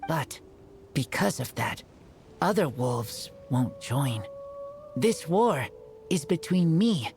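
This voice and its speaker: Wolf boy from a game, broken short words, slow talker, lively pitch. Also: slight background noise, exaggerated voice. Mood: resolute. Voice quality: clipped broken speech, slow and gruff. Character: wild young man raised by wolves, terse. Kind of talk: game character dialogue.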